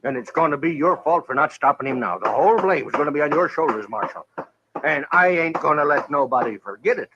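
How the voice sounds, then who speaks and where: An actor playing a proud, blustery older cattle rancher with a rural Western American accent in a radio drama. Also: male voice, a little high, expressive, brisk, lightly acted. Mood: indignant. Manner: blustery, boastful, folksy. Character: proud, cantankerous old cattle rancher turned hotel builder. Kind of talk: 1950s radio drama acting. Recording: old radio recording, dull and hissy.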